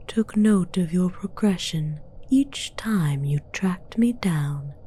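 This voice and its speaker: A woman's voice: lowish voice, expressive, mellow, a little hiss or hum. A woman playing a menacing, mocking demoness queen in a whispered, condescending ASMR roleplay. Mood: haughty, knowing. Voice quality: breathy whisper. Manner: slow, deliberate, condescending.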